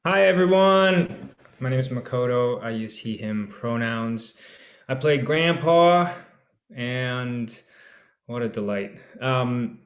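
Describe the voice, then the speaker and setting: A man's voice: medium pitch, lively intonation, slow, reverberant. A man, an actor, speaking effusively on a video-call panel, restarting his sentences often. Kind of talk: casual reflective chat.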